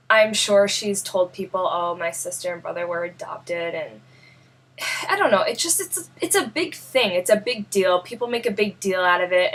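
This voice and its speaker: A young woman with a New York accent, born in Russia, talking earnestly and haltingly to camera in a personal vlog, often searching for words. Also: mid-range voice, a bit bright. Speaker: vlogger.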